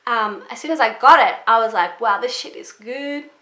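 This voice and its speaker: A young woman, chatting casually through a beauty product review, rambling and swearing a little, her voice a bit deeper than usual this morning. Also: moderate pace, sharp tone. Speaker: beauty vlogger. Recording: echoey room.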